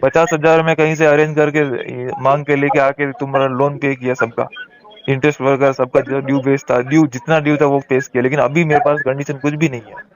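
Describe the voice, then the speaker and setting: A man's voice: medium pitch, level tone, fairly quick. A man speaking as a borrower in a heated phone call, pleading and insistently repeating himself. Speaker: borrower on a debt collection call.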